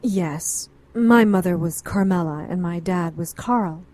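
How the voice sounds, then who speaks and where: A woman's voice: on the low side, a little slow, darkish tone, close to an everyday voice. An actress playing an anxious, educated middle-aged woman client in a noir radio drama, earnest and pleading. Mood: earnest, rambling.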